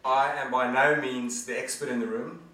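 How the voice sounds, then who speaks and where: A man's voice: mid-range voice, steady tone, normal speed, sharp tone. A man in his forties gives an earnest, conversational presentation to an audience as a guest speaker. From South Africa. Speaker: guest speaker, management consultant. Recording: echoey hall from far off.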